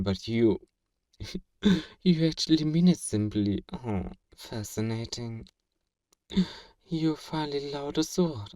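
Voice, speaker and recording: A man's voice: medium pitch, darkish tone, no echo, distant mic. A man playing a powerful, smug fantasy villain in a flirtatious ASMR roleplay, speaking in a theatrical, formal and teasing way. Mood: amused, teasing. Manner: theatrical, deliberate, formal, teasing, with breathy whispers.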